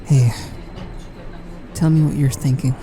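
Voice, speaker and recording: A woman's voice: very deep voice, fairly even intonation, measured pace, mellow, soft background music. A woman playing an aloof, weary teenage boy in a fan audio drama, subdued and apathetic. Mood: dry, gentle. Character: aloof, weary teenage boy, quietly devoted twin brother.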